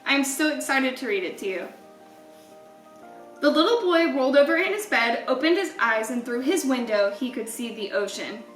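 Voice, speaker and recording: A woman reading a children's story aloud in an expressive storytelling style, with deliberate, emphatic wording. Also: high voice, echoey room, far from the mic.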